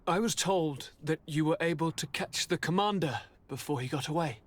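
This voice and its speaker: An actor as a husky, weary young prince. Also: male voice, a little high, moderate pace, close to an everyday voice. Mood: cold, stern. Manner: formal, theatrical, sighing. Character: sickly young prince, weary, courteous, bitter. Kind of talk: video game cutscene acting.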